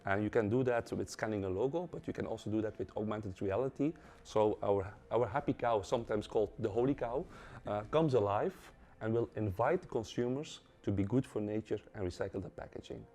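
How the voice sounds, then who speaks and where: A man, a company's head of innovation, calmly explaining to camera with frequent fillers. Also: on the low side, brisk.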